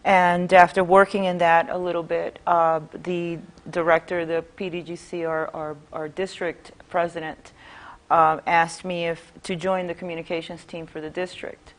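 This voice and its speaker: Woman from Puerto Rico, studio interview guest, low-ish voice, careful and halting delivery. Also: little pitch movement. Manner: measured, with frequent "uh" pauses. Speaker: union member guest.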